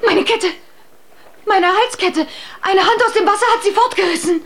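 A woman voicing a frightened young noblewoman who cries out in shrill panic. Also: normal speed, a bit bright, slight background noise. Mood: panicked.

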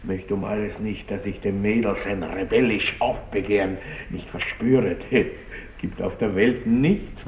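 Guy playing a puffed-up lecherous old baron, slow and blustery, old radio play, echoey with faint hiss. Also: mid-range voice, lightly acted. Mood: smug, amused. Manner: blustering, self-pitying, chuckling, drawn-out words. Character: pompous, lecherous, blustering aging country baron. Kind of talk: theatrical radio play acting. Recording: roomy 1960s radio drama, faint noise.

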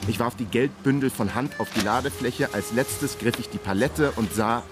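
A man's voice: mid-range voice, normal speed, over music, close to an everyday voice. An actor playing a hapless, wry male investigator who tells his own story in a radio crime drama. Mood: tense, wry. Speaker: actor playing the lead and narrator. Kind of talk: radio crime drama, first-person narration.

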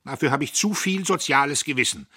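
A man voicing a haughty, self-righteous young man who answers in a shrill, indignant voice. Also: brisk, pushed and bright, slightly rough.

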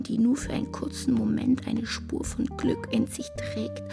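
Radio drama role: wistful, intense, earnest woman, breathy and whispery, faint music behind. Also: high-pitched. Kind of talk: German radio drama acting.

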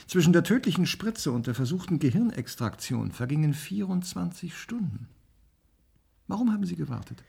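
An actor playing a stern, accusatory interrogator in a German radio play, his voice husky and gravelly. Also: a little high, very animated, normal speed, a little mellow, exaggerated voice. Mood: probing. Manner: pointed, accusatory.

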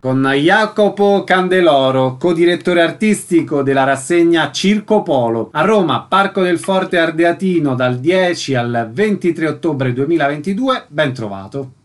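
Man hosting a radio show, kinda high voice, doing intros and quick questions. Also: lively intonation, faint music behind. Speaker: radio host and interviewer. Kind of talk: radio presenting, scripted introductions.

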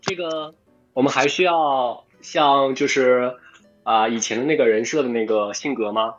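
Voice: medium pitch, normal speed, muffled recording, faint music behind. A voice actor from Inner Mongolia, chatting casually and tentatively with castmates. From Inner Mongolia, China. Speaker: voice actor in an audio drama. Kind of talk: casual, tentative group chat.

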